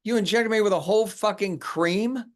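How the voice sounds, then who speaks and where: A man playing a driven, rattled detective in a film script read-through, with halting speech and repeated words. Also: high-pitched, close to an everyday voice. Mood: shocked. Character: driven, rattled male detective obsessed with his case. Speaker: actor at a script table read.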